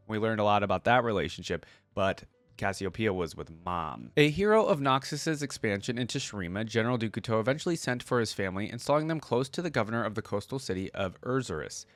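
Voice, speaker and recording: A man's voice: lowish voice, no echo. A man hosting a reaction video, talking informally and thinking aloud, switching between casual commentary and reading aloud.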